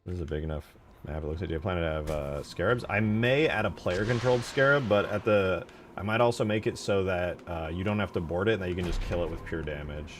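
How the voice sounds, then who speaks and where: A man's voice: sits low, quick pace. A man giving casual commentary as a game modder, thinking aloud about work in progress. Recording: clear background noise.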